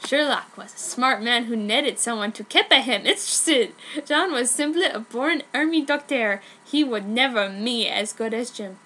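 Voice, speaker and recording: A woman's voice: on the high side, animated, normal speed, hardly any pauses, sharp tone, slight background noise. A woman giving a comic dramatic reading of fan fiction in a mock-dramatic, exaggerated manner. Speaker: amateur fan fiction reader.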